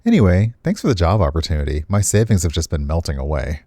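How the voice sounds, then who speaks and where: A man voicing an eager young woman dancer, softly determined. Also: sits low, very animated, quick pace, no echo, voice pushed down, full character voice. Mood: earnest.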